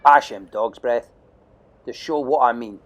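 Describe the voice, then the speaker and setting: A man's voice: mid-range voice, measured pace, highs cut off, natural voice. A man voicing a bossy, bullying Viking boy leader in a storybook audio play, giving menacing orders.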